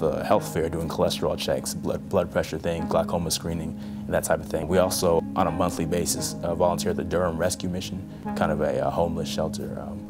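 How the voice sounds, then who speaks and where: A young man in his twenties, a medical student, talking casually in a documentary interview with lots of "you know". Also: sits low. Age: 20s.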